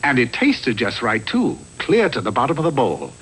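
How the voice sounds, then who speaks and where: A man's voice: medium pitch, wildly swooping pitch, moderate pace, a bit bright, a little hiss or hum. A man narrating a comic fairy tale in a wry, theatrical storyteller's manner, from a script.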